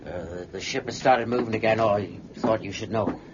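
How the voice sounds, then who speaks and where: An actor playing a sober, uneasy ship's first mate, speaking formally and gravely in a period drama. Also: male voice, fairly low pitch, fairly quick, close to an everyday voice. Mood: uneasy, deferential. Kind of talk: period radio drama, log narration and dialogue. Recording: dull recording with faint noise.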